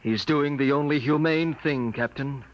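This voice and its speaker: A man's voice: mid-range voice, measured pace, close to an everyday voice. An actor playing a dutiful, unsentimental spaceship crewman in a 1950s radio drama, speaking with clipped earnestness. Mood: tense, firm. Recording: muffled, faintly noisy 1950s radio recording.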